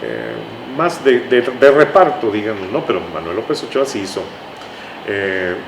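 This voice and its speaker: A man's voice: fairly low pitch, big pitch swings, moderate pace. Older Mexican man in an echoey, noisy room. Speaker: interview guest, writer. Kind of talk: conversational reminiscing. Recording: echoey room with clear background noise.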